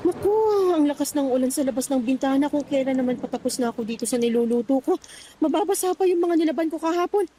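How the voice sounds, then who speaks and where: An exasperated older mother, acted by a woman in a radio drama, with a high voice. She grumbles about being overworked and calls out impatiently to her daughter-in-law. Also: fast talker, close to an everyday voice. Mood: weary grumbling. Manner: complaining, calling out.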